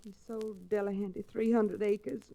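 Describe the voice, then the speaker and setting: An actress playing a bitter, spirited rancher's young daughter in an old-time radio western, dramatic and sharp-tongued. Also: female voice, mid-range voice, flat delivery, normal speed, vocal fry, natural voice, rough recording. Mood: bitter, tense. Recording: restored vintage radio drama recording.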